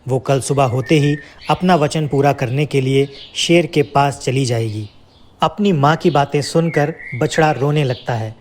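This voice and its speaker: A man's voice: medium pitch, unhurried, a little hiss or hum. A man narrating a scripted children's moral story as a storyteller.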